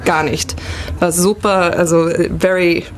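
A woman's voice: fairly low pitch, fairly even intonation, measured pace, faint music behind. A woman from Australia with an Australian English accent, a native English speaker chatting modestly as a radio interview guest. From Sydney, Australia. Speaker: guest, singer.

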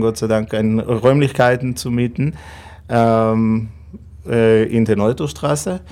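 A man's voice: mid-range voice, a little mellow. A man from Israel whose first language is Hebrew, speaking German with an Israeli accent in an interview, hesitant with many fillers and non-native phrasing. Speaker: rabbi. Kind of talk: interview answers.

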